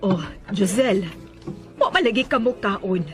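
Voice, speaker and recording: A woman's voice: medium pitch, animated, unhurried, soft background music, lightly acted. A woman acting in a Bisaya radio drama as a cold, bitter middle-aged mother, stern and scolding. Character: cold, bitter mother who rejects her daughter.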